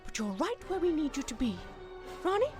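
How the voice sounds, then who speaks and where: A woman acts a brave, commanding heroine in a sci-fi audio drama. Her high voice swings dramatically as she speaks with emphatic authority, music behind her. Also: sing-song, brisk, darkish tone. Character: brave, commanding female leader defending Earth.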